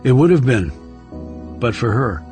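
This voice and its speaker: A man voicing a reserved, low-key male government operative in an audiobook thriller. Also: sing-song, unhurried, darkish tone, soft background music. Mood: subdued, reflective. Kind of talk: dramatic audiobook dialogue.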